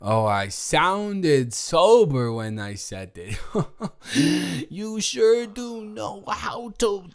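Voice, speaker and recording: Man acting as a tipsy roommate, wildly swooping pitch. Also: big pitch swings, very slow, exaggerated voice. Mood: indignant, salty. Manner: slurred drunken rambling, stammering, exasperated. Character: drunk, rambling, defensive young male roommate secretly in love. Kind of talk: improvised roleplay audio drama.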